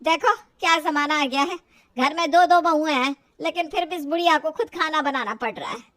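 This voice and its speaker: A stubborn elderly mother-in-law played by a woman in an audio storybook. She is high-voiced and indignant, grumbling and scolding as she goes. The recording is dry and close. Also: moderate pace, a bit bright, no room sound. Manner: indignant, grumbling, talks to herself.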